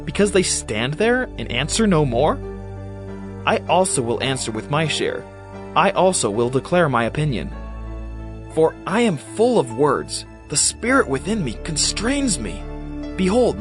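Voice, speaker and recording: High-pitched, fervent young man. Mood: bursting urgency. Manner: declamatory, insistent, earnest. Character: fervent, indignant young man rebuking his elders. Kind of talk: dramatized scripture reading.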